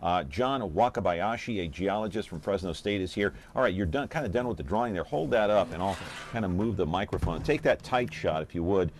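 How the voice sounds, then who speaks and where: A middle-aged American man hosting a local TV interview show, brisk and steering his guest and callers. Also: deep voice, animated, slight background noise. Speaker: TV talk show host. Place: local TV studio. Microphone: desk mic.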